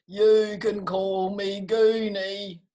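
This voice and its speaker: A woman with a New Zealand accent voicing a big, battered male albatross, weary but game, in a drawn-out, emphatic storybook voice. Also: medium pitch, flat delivery, mellow. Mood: pained, weary. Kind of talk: children's storybook character voice.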